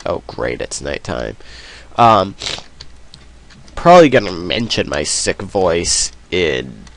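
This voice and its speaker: A man's voice: deep voice, sing-song, slow, gravelly, slight background noise. A man with a cold, sniffling as he rambles through casual let's play commentary. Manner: rambling, self-deprecating, many ums.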